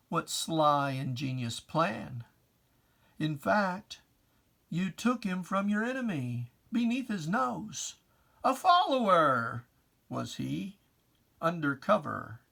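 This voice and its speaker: Slow, theatrical dramatic poetry reader. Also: mid-range voice, gravelly, fairly bright tone. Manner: theatrical, deliberate, taunting, with pointed pauses. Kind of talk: dramatic reading of religious verse.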